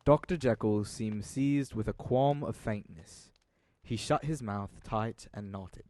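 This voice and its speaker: Low-voiced male audio drama narrator. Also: unhurried, a little mellow, dry recording. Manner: formal, literary delivery.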